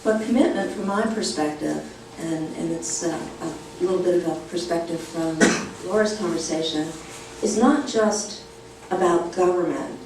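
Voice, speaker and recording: A woman speaking on a conference panel in a measured, deliberate way. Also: on the low side, noisy background. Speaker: panelist, former official. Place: university conference room. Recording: echoey hall, distant mic.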